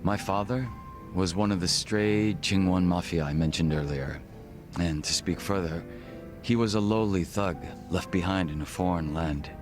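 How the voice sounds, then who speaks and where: An actor playing a composed, formal gangster second-in-command in a video game cutscene, measured and reserved. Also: male voice, low voice, over music, close to an everyday voice. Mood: calm, formal.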